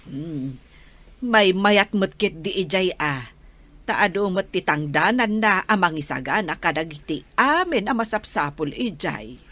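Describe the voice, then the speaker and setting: A woman's voice: on the low side, lively intonation, a little slow, a bit bright. An actress with a Filipino accent playing a chatty, nosy woman in a radio drama. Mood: matter-of-fact.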